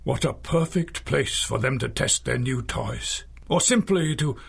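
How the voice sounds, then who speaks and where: An actor playing an urbane old retired magician and crime fighter in a radio drama, with a theatrical, formal, dryly witty delivery. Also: male voice, mid-range voice, animated, a little slow, creaky, slightly rough, full character voice. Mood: grave. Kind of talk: 1930s-style radio drama acting.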